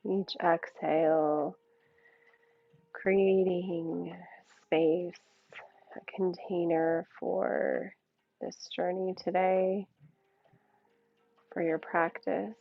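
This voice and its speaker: A woman yoga teacher leading a guided meditation in a soothing, unhurried way, with gentle pauses between short phrases. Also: lowish voice, level tone, vocal fry, no room sound, highs cut off.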